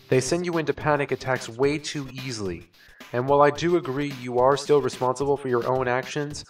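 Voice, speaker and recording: A man's voice: medium pitch, far from the mic, close to an everyday voice. A man playing a frank, wordy character who lectures and scolds in a fan comic dub.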